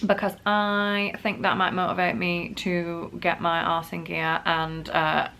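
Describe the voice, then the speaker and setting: A woman's voice: fairly low pitch, completely flat delivery, unhurried, gravelly, bright, forceful tone, a little hiss or hum. A woman vlogger, sick and wheezy with a bad cough, chatting casually to camera and poking fun at herself. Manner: chatty, self-mocking, many "like" fillers.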